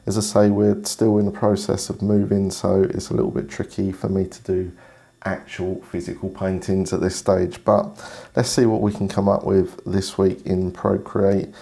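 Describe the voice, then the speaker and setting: A man with a cold, hoarse, talking through an art tutorial in a relaxed, casual way. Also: low-pitched, moderate pace. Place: indoors at a desk.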